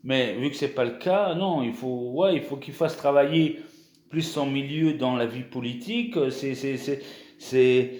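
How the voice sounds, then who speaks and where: Slow, hesitant young French man. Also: mid-range voice, a little mellow, reverberant. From France. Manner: hesitant, many restarts and fillers. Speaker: YouTuber. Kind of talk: casual vlog monologue.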